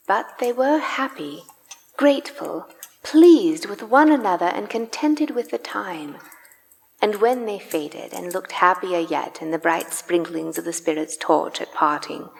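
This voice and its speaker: A woman's voice: lowish voice, gravelly. A woman narrating a Victorian tale as the scripted storyteller of a live radio play. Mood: tender. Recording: live in a reverberant church hall.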